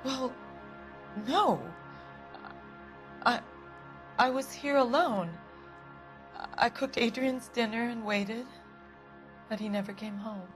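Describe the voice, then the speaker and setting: An actress playing an anxious, prim American wife who is defensive and easily affronted, in a dramatic game cutscene. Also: female voice, on the high side, slow, long pauses. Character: prim, anxious, indignant wife of a missing man. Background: music.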